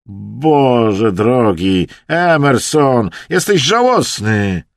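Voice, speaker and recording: A man's voice: lowish voice, animated, dry recording, clean studio sound, exaggerated voice. Man acting out a hysterical, wailing Russian hunter, dragged-out cries. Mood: mocking, exasperated. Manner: wailing, theatrical, drawn-out exclamations.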